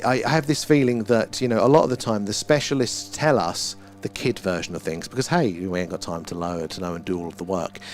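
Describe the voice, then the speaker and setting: A British man hosting a live stream, chatting casually in a rambling, musing way with some mild swearing. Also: fairly low pitch. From United Kingdom. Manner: musing, loosely philosophical, mildly sweary. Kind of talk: casual rambling livestream chat.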